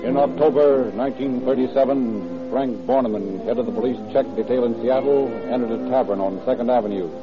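A man's voice: mid-range voice, a little fast, hardly any pauses. A man narrating a scripted radio crime drama in classic mid-century broadcast style. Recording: narrow old radio recording with music. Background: music.

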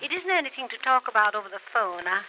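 A woman's voice: fairly high pitch, very animated, quick pace, sharp tone, exaggerated voice. An actress in a vintage radio soap playing a distressed, genteel older married woman, polite and urgent, heard through a telephone filter. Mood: anxious, polite. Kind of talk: 1940s-50s radio soap acting. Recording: tinny phone line, old noisy radio recording.

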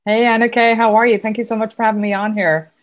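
A woman's voice: on the high side, level tone, fast talker, no echo, highs cut off. A middle-aged Irish woman with an Irish accent, chatting casually as a podcast guest, laughing often and using lots of fillers. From Ireland. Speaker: podcast guest, sponsorship consultant. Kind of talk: casual interview chat.

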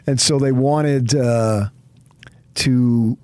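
A man's voice: mid-range voice, fairly even intonation, drawn-out words, darkish tone, clean tone. A middle-aged man with a New Jersey accent, talking sports off the cuff in a rambling, emphatic, conversational way as a radio host. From New Jersey, later Arizona. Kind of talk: unscripted sports talk.

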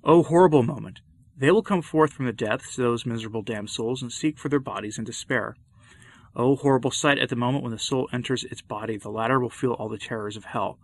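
A man's voice: mid-range voice, a little fast, no echo. Solemn man preaching hellfire, dry sound. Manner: solemn, archaic, impassioned declamation. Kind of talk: dramatic sermon reading.

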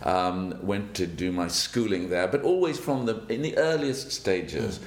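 An older man from South Africa, a banker who tells his life story in an interview, speaking in a halting, thoughtful way and chuckling now and then. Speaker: guest, banker. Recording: roomy with faint rumble.

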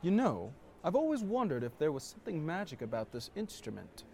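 A man's voice: fairly high pitch, huge pitch swings, brisk, gravelly, darkish tone, slightly rough, full character voice. A man playing a pompous, fussy swordsman in a fantasy audio drama, speaking in a formal way. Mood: curious, stubborn.

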